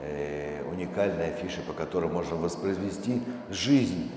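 A middle-aged man giving a live presentation to an audience, explaining things in a halting way through a handheld microphone on stage. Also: sits low, noisy background. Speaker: museum director presenting an exhibition project. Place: large dark auditorium stage, indoors. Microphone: handheld microphone through hall speakers. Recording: echoey hall with long reverb, loud music. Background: archival music playing.

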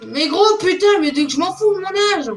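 A young man with a high voice role-playing in an online game, insistent and argumentative. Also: normal speed, a crowd in the background. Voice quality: high-pitched voice. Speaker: role-play gamer playing a police officer. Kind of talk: in-game role-play and arguing.

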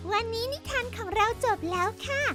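A woman voicing a cheerful little girl who hosts a children's cartoon show, sweet, bubbly and slow. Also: very high voice, full character voice. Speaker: show host. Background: children's background music.

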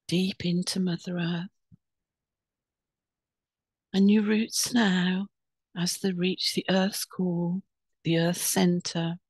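A woman leading a guided meditation in a soothing, unhurried, instructing way with pauses between phrases. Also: on the low side, husky, no echo.

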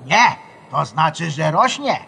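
A man's voice: fairly high pitch, very animated, slow pace, gravelly, pushed and bright, a little hiss or hum, full character voice. An actor playing an affectionate old grandfather spirit in a children's radio play, speaking tenderly and patiently. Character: affectionate old grandfather, a guardian spirit who has died.

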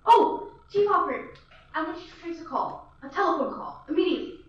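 A fretful, demanding rich woman, played by a young woman in a school radio drama, nagging the phone exchange in a high, indignant voice. She sounds roomy and distant, caught from across a room with a dull, muffled tone. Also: lively intonation, fast, a little hiss or hum. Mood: urgent. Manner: indignant, fussy and insistent. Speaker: student actor. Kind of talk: school radio play acting.

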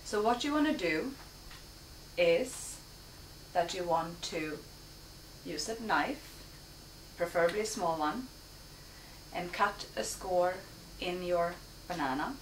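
A woman in her thirties from Sweden hosting a home cooking show, calmly explaining each step as she cooks in her kitchen. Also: lowish voice, slow pace, long pauses. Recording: echoey kitchen, distant mic, noisy.

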